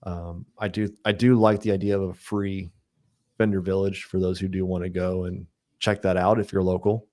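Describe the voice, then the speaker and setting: A man hosting a casual podcast chat, thinking out loud about an upcoming event. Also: sits low, level tone, normal speed. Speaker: podcast host.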